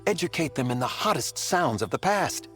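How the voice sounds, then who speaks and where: A self-important eccentric scholar who fancies himself a DJ. His pitch moves freely as he delivers wordy, grand pronouncements like a showman in a video game. Music plays clearly behind him. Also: very animated, normal speed, a bit bright. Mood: grand, indignant. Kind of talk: animated game voice acting.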